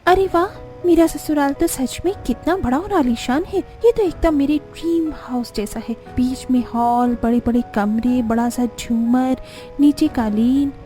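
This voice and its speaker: Woman doing a super high gushing young new bride for a cartoon, swoopy and wide-eyed, music behind. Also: measured pace, dark tone. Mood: impressed, gushing. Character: excitable young modern new bride, easily impressed and shocked. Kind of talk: cartoon story dialogue acting.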